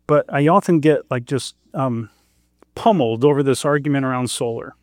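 A man's voice: medium pitch, moderate pace, a little mellow, no echo. Dry-voiced man lecturing on economics. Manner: conversational, quizzes the audience, self-deprecating jokes. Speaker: economist giving a talk. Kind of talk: conference presentation to a farm audience.

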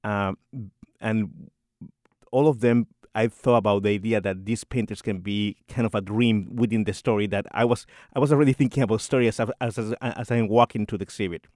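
A middle-aged man with a lowish voice, talking as a composer in a radio interview. He stumbles and restarts often, repeating little words as he searches for them. The sound is dry and close. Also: fairly even intonation, fairly quick, a little mellow, dry recording. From Puerto Rico. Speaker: composer and church organist.

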